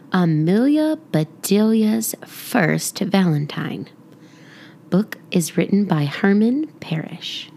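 Creaky-voiced woman reading a children's storybook. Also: fairly low pitch. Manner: expressive, deliberate read-aloud delivery. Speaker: children's book narrator, podcast reader. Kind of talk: scripted storybook narration.

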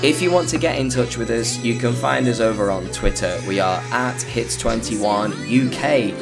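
A hoarse British man hosting a podcast, chatting casually and rambling with plenty of fillers and restarts. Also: medium pitch, moderate pace, music in the background. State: hoarse, recovering from illness. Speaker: podcast host.

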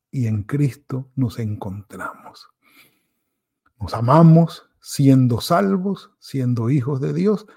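A male pastor gives a devotional sermon at an unhurried pace. His voice is gravelly and a little husky, with a mellow, darker tone. He self-corrects mid-phrase and slips into quick quoted asides to mimic people's excuses. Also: mid-range voice. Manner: pauses and restarts, self-corrects.

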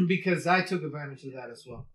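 A man telling a travel story in casual podcast chat, rambling and halting with many fillers and restarts. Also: a little high, animated, reverberant.

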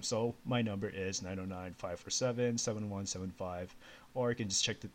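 A man's voice: low voice, a bit bright, slight background noise. A man who grew up in Canada, hosting a live educational show from his home computer in a casual, chatty way with small laughs and fillers. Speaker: podcast host. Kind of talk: casual live radio chat.

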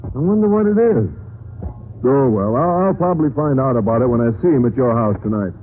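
An actor playing a naive, polite biology teacher in an old radio sitcom, speaking with hesitant earnestness. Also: male voice, medium pitch, animated, a little fast, lightly acted. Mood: puzzled. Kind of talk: 1940s-50s radio sitcom acting. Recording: noisy, narrow-band old radio recording.

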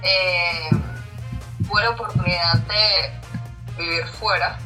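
A woman with a Caracas accent co-hosts a podcast, and her bright voice sounds cheerful and effusive. Faint music plays behind her. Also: on the low side, fairly even intonation, slow, distant mic, rough recording. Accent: Venezuelan, Caracas. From Venezuela. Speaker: podcast co-host. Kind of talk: casual podcast chat.

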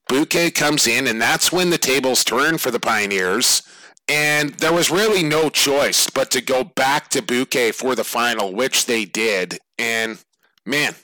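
A man with a slightly raspy, hoarse voice, hosting a casual sports talk show and rambling conversationally with lots of fillers. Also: mid-range voice, pushed and bright, no echo, poor audio. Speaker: lacrosse podcast host.

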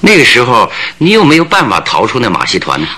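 An actor in a radio play voicing a composed, probing male detective who questions deliberately, with thoughtful hums. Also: mid-range voice, wildly swooping pitch, bright, forceful tone, slightly rough, full character voice.

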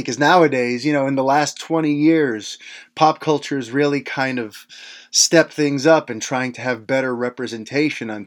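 A man in his late thirties hosting a podcast, recalling old memories in a loose, conversational monologue full of "you know" asides. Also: medium pitch. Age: late 30s. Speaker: podcast host. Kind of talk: casual reflective monologue.

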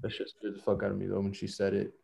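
A man's voice: on the low side, completely flat delivery, quick pace, gravelly, mellow, husky. A man in casual chat with friends, speaking loosely with slang and swearing.

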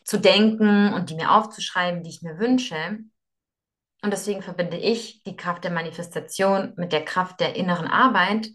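A young woman who grew up in Russia speaks as a podcast guest. Her voice sits slightly low, and she explains her ideas earnestly, often circling back and repeating words as she thinks aloud. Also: moderate pace, fairly bright tone. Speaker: yoga teacher and guest. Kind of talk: conversational podcast interview.

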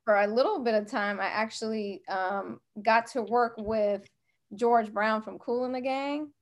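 American woman, middle-aged, kinda high voice, chatting casually as a podcast guest. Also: a little slow. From United States, West Coast. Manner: informal, giggly, conversational asides. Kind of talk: casual anecdotal chat.

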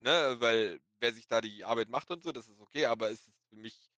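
Bright-voiced man with a slight lisp. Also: medium pitch, brisk, no echo, lo-fi sound. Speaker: podcast guest. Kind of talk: casual podcast chat.

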